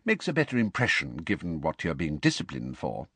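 A man's voice: a bass voice, huge pitch swings, a little fast, fairly bright tone, slightly rough, no room sound, full character voice. A man voicing a kindly, enthusiastic middle-aged wizard father in an audiobook, talking in a bracing, encouraging way. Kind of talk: audiobook character voice.

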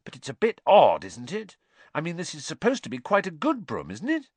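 A man voices a cautious teenage girl witch for an audiobook. She is prim and questioning, with a shrill, raised pitch that rises and dips a lot. The sound is dry. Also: wildly swooping pitch, brisk, darkish tone, breathy edge, dry recording, full character voice. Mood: uneasy. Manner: careful, questioning, hesitant.

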